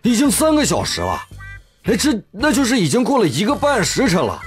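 An actor playing a pompous, silly yet upright old-time Chinese magistrate in a comedy radio drama, with theatrical, grandiose exclamations. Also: male voice, high-pitched, wildly swooping pitch, a little fast, soft background music.

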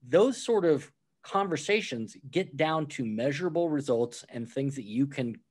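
Man explaining restaurant management calmly. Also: mid-range voice, normal speed, husky. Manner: explains with worked examples, acts out quoted replies. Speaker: author and restaurant guest. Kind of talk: conversational podcast interview.

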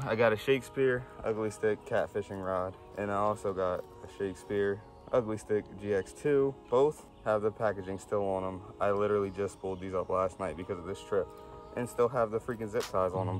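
A young man narrating his fishing trip on a rocky lakeshore, his voice picked up by a camera he wears. He talks casually and gets excitable when a fish bites, with faint music underneath. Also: fairly low pitch, a little fast. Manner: excitable, swears mildly, narrates while fishing. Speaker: fishing vlogger. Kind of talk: casual vlog commentary.

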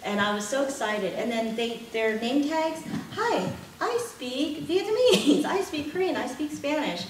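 A middle-aged woman with a fairly high voice leads a classroom workshop, talking animatedly and laughing as she moves around. She is picked up by a distant camera, so her voice carries the echo of the room. Steady background noise runs underneath. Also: unhurried. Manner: animated, gesturing, laughing often. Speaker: workshop presenter. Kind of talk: lively lecture with anecdotes. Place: classroom with projector screen. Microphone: far camera, no visible mic. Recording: echoey room from far off, noisy.

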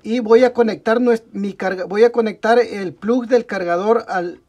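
A man's voice: high-pitched, fairly even intonation, normal speed, a little mellow. Young Mexican man, tech tutorial host, narrates hands-on steps with self-corrections, bench mic sound. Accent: Mexican Spanish. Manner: talks through each step while working, frequent "ok, amigos" and self-corrections. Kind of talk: step-by-step repair tutorial. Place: indoor workbench at a desk.

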